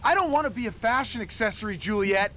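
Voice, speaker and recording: A man's voice: high voice, lively intonation, quick pace, bright, forceful tone. A man playing a sardonic, glum young boyfriend who is a severed head, in a video game.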